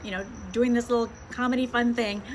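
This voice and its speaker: A woman's voice: fairly high pitch, fairly even intonation, brisk, a little hiss or hum. A woman hosting an interview, asking questions in a chatty, encouraging way. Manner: chatty, encouraging, frequent mm-hmms. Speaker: host, interviewer.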